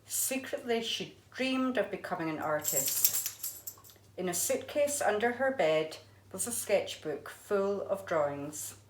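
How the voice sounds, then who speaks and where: A woman's voice: medium pitch, animated, slow, a little hiss or hum. A woman, an art teacher, reading a children's storybook aloud expressively. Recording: echoey room.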